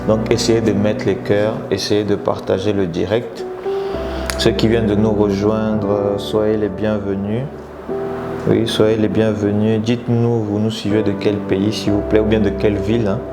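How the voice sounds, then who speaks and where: Man, low voice, public speaking coach, live stream, roomy echo, loud guitar music. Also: flat delivery, normal speed. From Congo. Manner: teaching in numbered points, urging viewers to share. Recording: echoey room with loud guitar music behind.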